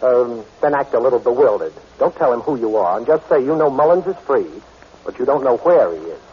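An American actor playing a cool, good-hearted reformed thief in a 1940s radio drama, wry and assured. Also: male voice, mid-range voice, animated, brisk, husky. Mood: reassuring. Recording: muffled old radio recording.

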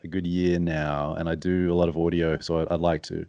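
A man with a very deep, low-pitched voice that comes out mumbly and soft-spoken. He talks quietly and reflectively in a casual interview, close to the microphone. The sound is dry and close, with no room around it. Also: little pitch movement, fairly quick, no room sound, muffled recording. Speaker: podcast guest, author and strategist.

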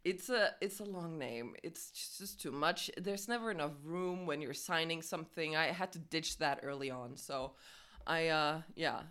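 A woman's voice: sits low, moderate pace, fairly bright tone, clear, resonant tone. Teasing young woman from Denmark. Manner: teasing, bawdy humor, laughs a lot. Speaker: podcast co-host. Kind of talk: casual chat.

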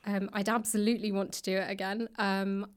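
A woman answering as a guest in a podcast interview, speaking conversationally in a thoughtful, hesitant way with frequent pauses. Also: medium pitch, little pitch movement, clean tone. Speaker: guest author and actor. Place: library room.

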